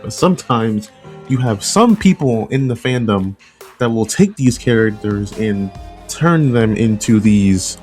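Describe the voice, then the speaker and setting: A man's voice: mid-range voice, a little mellow. A man giving casual opinion commentary as a video essay host, conversational and often rephrasing his points. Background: background music.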